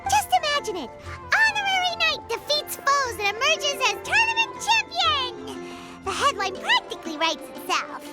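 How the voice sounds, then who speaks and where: A tiny floating fairy girl chirps in a very high, wildly swooping voice. She is bubbly and excitable. Loud game music plays under her lines. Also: moderate pace. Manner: bubbly, refers to herself in third person. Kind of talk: game dialogue.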